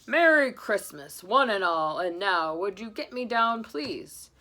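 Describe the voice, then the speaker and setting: A woman voicing a jolly old Santa for a storybook, with loud, hearty, drawn-out delivery. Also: mid-range voice, big pitch swings, poor audio.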